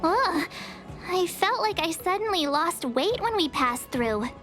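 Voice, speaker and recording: A woman's voice: very high voice, animated, a little slow. An actress playing a curious, cheeky young girl traveller in a video game, with an informal, playful manner. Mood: uneasy. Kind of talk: video game dialogue acting. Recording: music under the voice.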